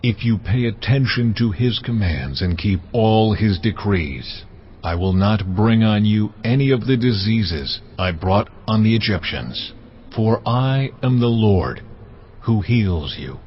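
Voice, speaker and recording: Casting note: the Lord, solemn divine commander, low voice, dull track with faint music. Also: male voice, expressive, a little slow, slightly rough.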